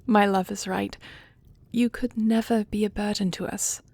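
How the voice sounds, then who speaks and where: A woman acting a tender dragon queen, creaky-voiced. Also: mid-range voice, fairly even intonation, dry recording. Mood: affectionate, gentle. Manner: formal, measured, gentle diction. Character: regal dragon queen, tender, earnest wife and would-be adoptive mother. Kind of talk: scripted audio roleplay drama.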